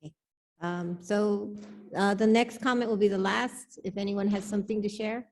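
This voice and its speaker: A woman's voice: medium pitch, fairly even intonation, moderate pace, darkish tone. A woman moderating a group discussion, giving informal thanks and announcements. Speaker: discussion moderator at a church service.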